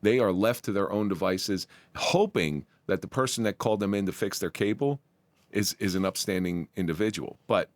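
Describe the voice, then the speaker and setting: Deep-voiced chatty podcast host. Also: male voice, normal speed, dry recording. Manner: chatty, opinionated, jokey asides. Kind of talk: casual interview.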